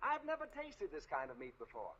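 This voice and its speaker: A man playing a pompous, suspicious, blustery high school principal in an old radio comedy. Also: huge pitch swings, brisk, fairly bright tone, exaggerated voice. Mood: probing, suspicious. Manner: pompous, clipped formal indignation. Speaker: actor in radio sitcom. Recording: dull, noisy old radio recording.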